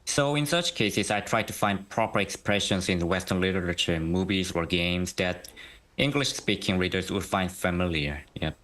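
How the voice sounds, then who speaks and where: A young man, a guest translator giving measured interview answers that often begin with "so". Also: deep voice, a bit bright. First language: Korean. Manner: measured, starts many sentences with "so".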